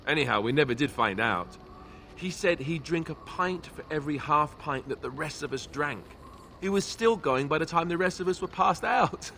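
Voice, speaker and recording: An actor playing an affable, boyish young warrior who talks in a conversational, sentimental way in a video game. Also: male voice, fairly high pitch, expressive, normal speed, vocal fry, pushed and bright, slight background noise, full character voice. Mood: amused, nostalgic. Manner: conversational, self-interrupting storyteller. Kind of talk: video game dialogue acting.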